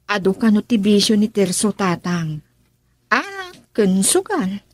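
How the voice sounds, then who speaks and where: A concerned grown daughter in a radio drama. She has a husky, medium-pitched voice with an Ilocano accent, captured dry and close. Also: sing-song, measured pace, no echo. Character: concerned grown daughter confiding in her father.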